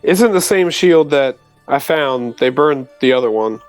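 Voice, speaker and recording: A man's voice: medium pitch, expressive, measured pace, faint music behind. A man playing in a tabletop roleplaying game, chatting casually and answering as his character.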